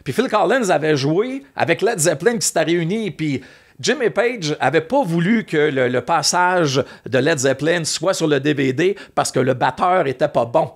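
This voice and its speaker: A man with a Québécois French accent telling animated, rambling anecdotes in a casual chat. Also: fairly high pitch, normal speed. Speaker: guest, former music TV host.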